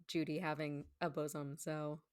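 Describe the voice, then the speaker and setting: A woman with a slightly low voice chats casually as a guest. Her phrasing is informal and halting, often turning into asides. The sound is close and very dry, with no room echo. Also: level tone, clean tone. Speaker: guest, stage performer. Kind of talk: casual conversation.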